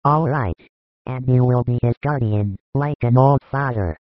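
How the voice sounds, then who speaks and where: A man voicing a calm, gentle male teacher in an audiobook, speaking in a measured way. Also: mid-range voice, no echo, natural voice. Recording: thin phone-like dry sound.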